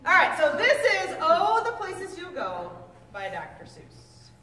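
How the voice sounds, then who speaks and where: A woman with a high-pitched voice reads aloud to schoolchildren in an expressive storybook style. The sound is distant and reverberant, as in a big echoing room, with faint noise underneath. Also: measured pace. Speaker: teacher reading aloud to sixth grade students. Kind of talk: children's picture book read-aloud to a class. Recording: echoey hall, distant mic, faint noise.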